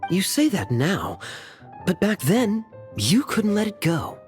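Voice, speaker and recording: A teasing young knight for a game; playful, wry, with lively rise and fall. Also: male voice, high-pitched, slow, soft background music, full character voice. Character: sly, teasing young male knight captain. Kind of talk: video game voice acting.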